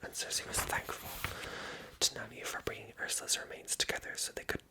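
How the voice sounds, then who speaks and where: A person reading a story aloud as ASMR narration in a hushed, unvoiced whisper. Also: a little fast. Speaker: ASMR reader and channel host.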